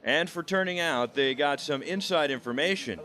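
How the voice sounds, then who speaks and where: A man's voice: fairly high pitch, moderate pace, bright, forceful tone, a little hiss or hum. An American man narrating a scripted video report as a reporter.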